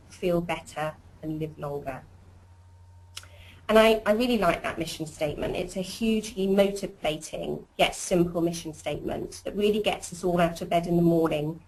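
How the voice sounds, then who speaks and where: A woman hosting a corporate webinar as programme director, presenting warmly and chattily with frequent ums and uhs. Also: on the low side, low-quality recording.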